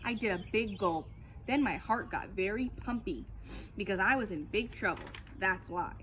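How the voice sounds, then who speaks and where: A woman reading a children's book aloud as a storytime reader, in an expressive, emphatic storytelling manner. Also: medium pitch, moderate pace, slight background noise.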